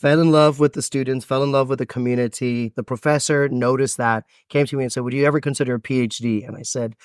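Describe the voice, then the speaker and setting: A man in his thirties with an American accent, a guest telling his life story with expansive, dramatic pauses. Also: medium pitch, fairly even intonation, smooth, no echo, studio quality. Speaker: podcast guest, event MC. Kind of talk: storytelling interview answers.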